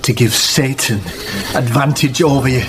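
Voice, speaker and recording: An actor playing a sly, pious false friend in a period radio drama, with measured, formal, sanctimonious speech. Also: male voice, medium pitch, steady tone, noisy background, natural voice. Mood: accusing. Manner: measured, sanctimonious, formal old-fashioned phrasing.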